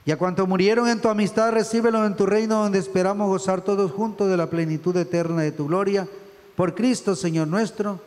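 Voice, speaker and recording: Middle-aged priest praying in a reverberant church. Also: male voice, high-pitched, fairly even intonation, a little mellow. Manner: reverent, measured phrasing. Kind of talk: solemn liturgical prayer recitation. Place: church altar, indoors. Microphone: stand microphone at the altar.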